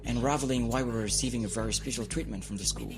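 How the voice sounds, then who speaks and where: A man's voice: medium pitch, rapid-fire, music in the background, natural voice. A man playing a troubled male student who recounts events hesitantly, often restarting his words. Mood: uneasy.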